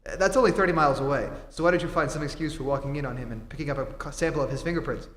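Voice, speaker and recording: An actor playing an earnest, determined reporter who is secretly a superhero, in 1940s radio style. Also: male voice, a little high, rapid-fire, fairly bright tone, natural voice. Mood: urgent, insistent. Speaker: amateur theatre actor. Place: theatre stage before a live audience. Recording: echoey hall, live stage performance, noisy.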